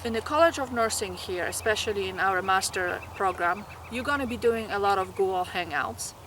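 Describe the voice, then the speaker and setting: A woman's voice: medium pitch, moderate pace, background noise. A woman from Poland with a Polish accent, giving earnest, encouraging study advice to nursing students. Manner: earnest, encouraging, with small grammar slips.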